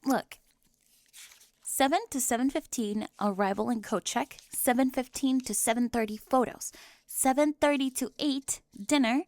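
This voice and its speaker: A young woman acting a bossy, easily flustered, over-organized high school girl with a crush, in an ASMR roleplay. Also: normal speed, a little mellow, dry recording. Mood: brisk, officious. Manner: indignant, emphatic, easily flustered, stammering when caught.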